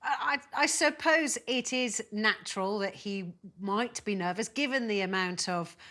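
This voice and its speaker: A woman's voice: medium pitch, measured pace, bright, forceful tone. A British woman presenting a live news interview, putting long, conversational questions to guests as she hosts.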